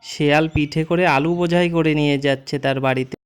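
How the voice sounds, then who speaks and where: Smooth, clear folktale narrator. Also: mid-range voice, fairly even intonation, fairly quick. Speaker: storyteller, narrator. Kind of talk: scripted children's folktale narration.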